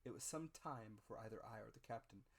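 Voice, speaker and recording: A man narrating an adventure story as a dramatic audiobook reading, measured and expressive. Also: fairly low pitch, gravelly.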